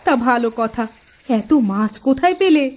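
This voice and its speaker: A woman acts out a village housewife in a cartoon. The voice is high, affectionate and worried, with a pleading, fussing manner. The recording has clear background noise. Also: lively intonation, normal speed, dull audio, close to an everyday voice. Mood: concerned. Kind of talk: cartoon voice acting.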